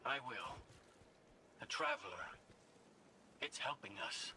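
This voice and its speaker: A man voicing a small, anxious and devoted robot companion in a video game, speaking in a fretful, halting way. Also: medium pitch, wildly swooping pitch, creaky, background noise, heavily acted. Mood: eager, anxious.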